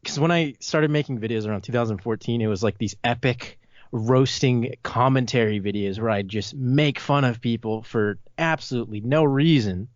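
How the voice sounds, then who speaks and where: A man of twenty talks as a guest on a casual podcast, blunt and informal. His pitch is average for a man. The sound is very dry and a touch dull. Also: moderate pace, no room sound. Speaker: podcast guest, video maker.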